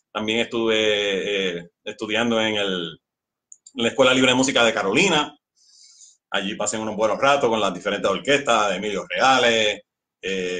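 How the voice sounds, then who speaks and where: A man's voice: on the low side, pushed and bright. A middle-aged man from Puerto Rico with a Puerto Rican accent, a musician guest chatting casually and exuberantly in a small room. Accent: Puerto Rican Spanish. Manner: exuberant, laughs often. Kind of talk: casual interview chat. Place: small indoor studio room.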